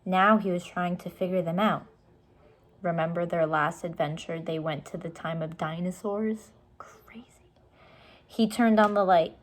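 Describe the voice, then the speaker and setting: A woman reading a storybook aloud to young children, pausing to explain things to them. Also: lowish voice, fairly even intonation. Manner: explains words and asks questions for young listeners. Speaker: storybook reader.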